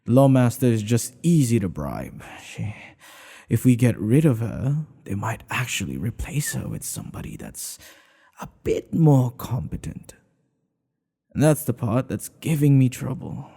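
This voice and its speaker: A man playing a smitten older king in an ASMR boyfriend roleplay, regal and teasing, with courtly phrasing and a breathy voice that often drops toward a whisper. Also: mid-range voice, very animated, measured pace, dark tone, heavily acted. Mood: frustrated, confiding.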